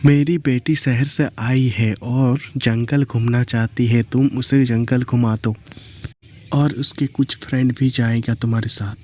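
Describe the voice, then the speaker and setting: A man's voice: mid-range voice, steady tone, measured pace, noisy background, natural voice. A man voicing an authoritative village landlord in a cartoon story, a protective father giving instructions. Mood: stern, instructing.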